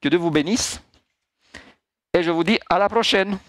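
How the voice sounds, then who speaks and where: An older male pastor preaches with a high, lively voice that jumps up when he quotes people. The sound is close and dry, with little room around it. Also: normal speed, no room sound. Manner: animated, acts out dialogue. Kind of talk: sermon to a congregation. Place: small church hall.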